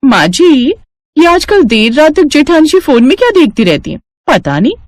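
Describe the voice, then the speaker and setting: A woman's voice: sits high, very animated, normal speed, no room sound, studio quality, pitched above the performer's own voice. A woman voicing a smug, boastful young working daughter-in-law in a story, sugary to elders and condescending. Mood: mocking.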